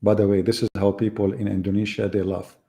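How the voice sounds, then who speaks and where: Gravelly, mocking man on a live debate. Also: on the low side, flat delivery, fast, dark tone. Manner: mocking, sarcastic rhetorical questions. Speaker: debate host. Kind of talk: live online debate monologue.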